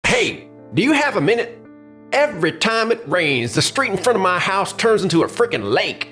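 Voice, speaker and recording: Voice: mid-range voice, sing-song, normal speed, fairly bright tone, soft background music. A performer playing an angry, foul-mouthed tenant in a manga dub, confrontational and sarcastic. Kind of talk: scripted manga dub acting.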